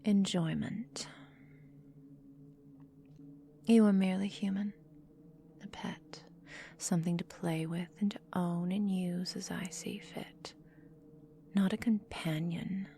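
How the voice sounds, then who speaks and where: Casting: woman to play seductive, cruel vampire mistress for ASMR roleplay. Soft, dark-toned breathy whisper, unhurried, possessive menace; faint noise behind. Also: medium pitch. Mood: cold, disdainful. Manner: slow, teasing, menacing, drawn-out phrasing.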